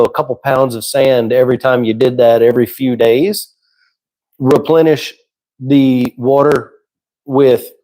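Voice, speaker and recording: A man's voice: mid-range voice, low-quality recording. A man hosting a casual livestream who is losing his voice, chatting easily and answering viewers' questions. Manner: relaxed, conversational, answers chat questions. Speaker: livestream host.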